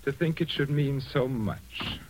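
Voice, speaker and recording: A man's voice: medium pitch, unhurried, lightly acted, poor audio. A man playing a silky murderous organist. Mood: sinister calm. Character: murderous male organist, calm and sinister, adult. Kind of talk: 1940s radio acting.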